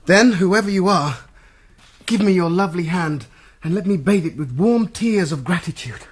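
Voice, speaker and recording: An actor with a British accent playing an ardent, chivalrous young hero in a gothic radio drama, florid and earnest in speech. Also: male voice, high voice, lively intonation, moderate pace, husky.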